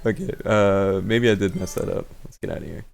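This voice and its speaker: A man's voice: lowish voice, a little fast, gravelly, darkish tone, clear, resonant tone. A man giving a casual, unscripted gameplay walkthrough as a video host, rambling and thinking aloud as he goes. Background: background game music.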